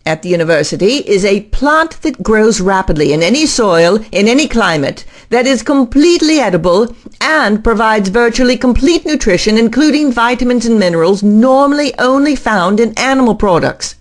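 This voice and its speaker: A woman's voice: a little high, animated, moderate pace, hardly any pauses, lightly acted. A woman acting an earnest, eager-to-please scientist in an audio drama.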